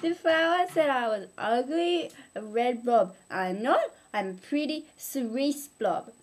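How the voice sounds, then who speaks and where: A woman's voice: high-pitched, lively intonation, a little slow. A young woman voicing a whiny, indignant little male blob in a homemade children's moral story, protesting childishly. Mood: whiny complaint.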